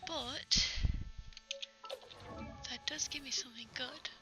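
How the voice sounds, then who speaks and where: High-pitched woman streaming a game. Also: slow. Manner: thinking aloud while playing. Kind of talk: casual gaming commentary. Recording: game music and mains hum behind. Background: video game music.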